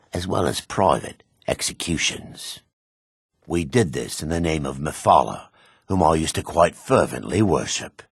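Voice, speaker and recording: An actor playing a gruff, haughty dark elf rogue in a video game, with a gravelly, raspy voice and a curt, disdainful, suspicious way of talking. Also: male voice, extremely low pitch, no room sound, clean studio sound, heavily acted. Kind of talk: video game character dialogue.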